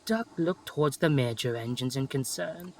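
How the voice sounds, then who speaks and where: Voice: mid-range voice, normal speed, slight background noise. A narrator reading a scripted children's story, with music playing behind.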